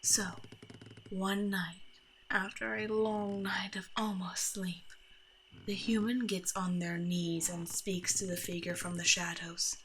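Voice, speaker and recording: Under-bed monster, female, played by a woman: forceful bright tone, mid pitch, bossy and exasperated, ASMR roleplay delivery. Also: fairly even intonation, slow pace, a little hiss or hum, low-quality recording. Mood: hushed, storytelling. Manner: exasperated, bossy, mock-threatening. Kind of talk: scripted ASMR roleplay, speaking to the listener.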